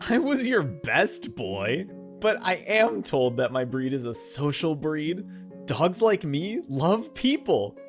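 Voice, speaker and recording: An actor voicing an eager, affectionate dog in an audio play, gushing and excitable. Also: mid-range voice, slow pace, faint music behind. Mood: cheerful, sincere. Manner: gushing, excitable, breathy gasps and laughs. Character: eager, affectionate dog with human speech in pet heaven.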